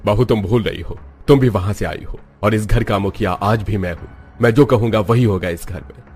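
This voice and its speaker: A man playing a deep-voiced aging family patriarch. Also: moderate pace, darkish tone, breathy edge. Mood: stern, firm. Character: homesick, stern aging father, head of the household. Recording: background music under the voice.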